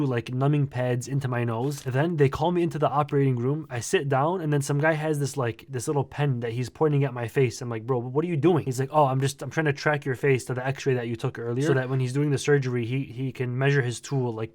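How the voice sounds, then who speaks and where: A young man with a nasal, congested voice, telling a story in a casual, animated, slangy vlog. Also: medium pitch, no echo, clean studio sound. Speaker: vlogger.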